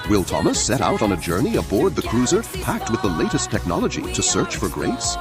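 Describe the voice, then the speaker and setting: A man with a husky voice reading a scripted story as a dramatic narrator. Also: lowish voice, huge pitch swings, brisk, over music.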